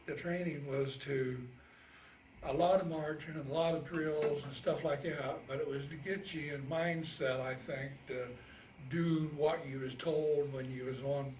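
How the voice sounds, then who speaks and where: Man around eighty, Midwestern American accent, halting folksy recollection, interviewed veteran, echoey room, faint background noise. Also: fairly high pitch, fairly even intonation, darkish tone. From Indiana, United States. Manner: halting, folksy plain speech. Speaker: Navy veteran being interviewed. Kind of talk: casual oral history recollection. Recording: roomy, distant mic, faint noise.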